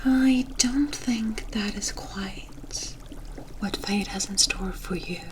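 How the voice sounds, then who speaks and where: A woman's voice: mid-range voice, takes it slowly, lightly acted. A woman playing a mysterious, kindly witch in an ASMR roleplay, speaking in a coy, teasing and cryptic way. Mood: cryptic, reassuring.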